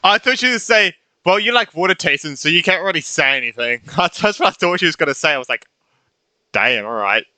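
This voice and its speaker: A man's voice: fairly high pitch, animated, fast, bright, forceful tone, dry recording. A man giving casual gameplay commentary, informal and slangy, thinking aloud as he reacts. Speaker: gaming YouTuber.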